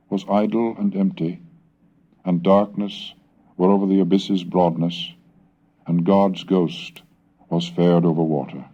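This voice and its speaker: A man giving a formal, measured scholarly reading, reciting old verses slowly and carefully, on a dull 1970s cassette recording. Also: medium pitch, mellow. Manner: measured, deliberate, slows and lifts for the old tongue. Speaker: scholarly reader.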